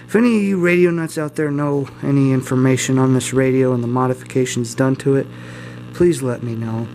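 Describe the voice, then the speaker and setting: A man's voice: medium pitch, brisk, rough recording. A man talking casually and informally as he gives a hands-on demonstration, rambling a little and self-deprecating. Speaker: hobbyist video host. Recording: steady mains hum and room noise. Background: electrical hum and faint static.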